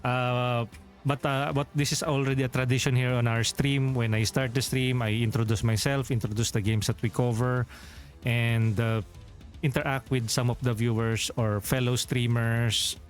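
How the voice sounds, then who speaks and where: A man's voice: medium pitch, level tone, normal speed, soft background music. A Filipino man with a Filipino accent, a game streamer chatting casually and rambling as he corrects himself. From Philippines. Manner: rambling, self-correcting, chuckles often. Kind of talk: casual livestream chat.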